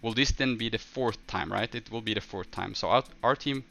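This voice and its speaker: A man from northern Sweden with a Swedish accent, chatting casually as a game livestreamer and answering viewers in a halting way, thinking aloud. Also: lowish voice, creaky, fairly bright tone, faint music behind.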